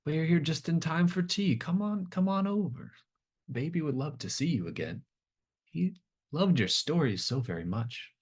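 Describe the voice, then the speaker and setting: A man voicing a doll-like skeleton woman in a tabletop horror game, hushing and motherly in a sing-song way. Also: fairly high pitch, expressive, normal speed, breathy edge, voice pushed up. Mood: sweetly welcoming. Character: cooing doll-like skeleton woman nursing a monstrous bundle.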